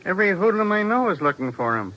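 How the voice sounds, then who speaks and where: High, surly flophouse clerk. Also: male voice, sing-song, fast, fairly bright tone. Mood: surly, suspicious. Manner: brusque, street-tough. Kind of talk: 1950s radio crime drama acting. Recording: noisy vintage 1950s radio recording.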